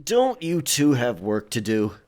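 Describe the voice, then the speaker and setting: Commanding male pirate played by an actor, dismissive and exasperated, animated pitch, historical audio drama. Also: measured pace.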